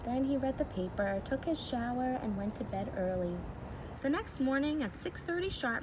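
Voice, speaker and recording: A woman with a fairly high voice reads a children's story aloud like a teacher. Her delivery is expressive and explanatory. The recording has clear noise in the background. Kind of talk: children's storybook read-aloud with simple commentary.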